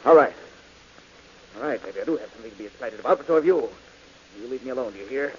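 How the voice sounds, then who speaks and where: A man plays a resentful, cornered killer who grows more threatening the longer he talks. He speaks fast, and his voice sits in a middle range. The old broadcast is dull, with a light hiss. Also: mid-range voice, expressive, natural voice. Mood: menacing. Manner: defensive, rising to angry threats. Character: bitter, hunted male murder suspect. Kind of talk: 1940s radio acting.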